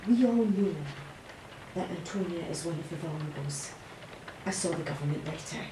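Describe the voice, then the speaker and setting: A woman's voice: low-pitched, normal speed. Actress as a composed, persuasive woman, distant mic. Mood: solicitous, calculating. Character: composed, organised, civic-minded woman, quietly calculating. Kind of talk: audio play acting. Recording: roomy, distant, noisy background.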